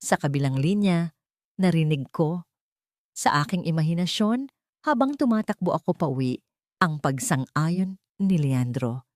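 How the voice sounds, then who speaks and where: A woman's voice: low voice, lively intonation, a little slow, pauses often, a little mellow, dry recording, clean studio sound. A woman narrating a dramatic first-person story in a tense, suspenseful way.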